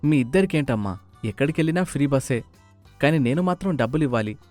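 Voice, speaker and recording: Man doing a grumbly grown son in a cartoon story, complaining, mid pitch, faint music. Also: lively intonation, quick pace, darkish tone, close to an everyday voice. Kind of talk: dramatised moral story voice acting. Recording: music under the voice.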